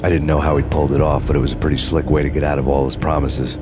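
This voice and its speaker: A man narrating in a deadpan, sardonic hard-boiled noir style. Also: very deep voice, very fast. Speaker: game protagonist narrator. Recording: loud music under voice.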